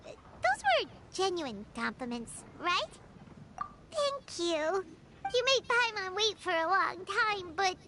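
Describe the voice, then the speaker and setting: A woman voicing a small, excitable, pouty childlike fairy companion in a video game, with a squeaky voice and exclamatory manner. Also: noisy background, heavily acted. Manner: exclamatory, refers to self in third person. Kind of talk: video game character acting.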